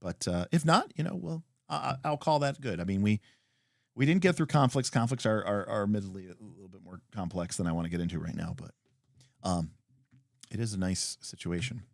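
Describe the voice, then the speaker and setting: A man hosts a casual livestream with very lively, swinging intonation. He rambles and thinks aloud, then lands his opinions with emphasis. The recording is close and dry, with little sense of a room around him. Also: fairly low pitch, fairly quick, vocal fry, no echo. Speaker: livestream host. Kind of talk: casual unscripted livestream chat; reading and answering viewer comments.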